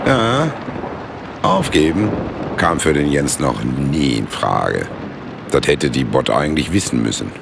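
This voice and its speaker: An actor playing a chatty, wry local storyteller in a radio play, with a gravelly voice and a Ruhr area German accent. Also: male voice, extremely low pitch, big pitch swings, measured pace, background noise, full character voice. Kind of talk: colloquial radio play narration.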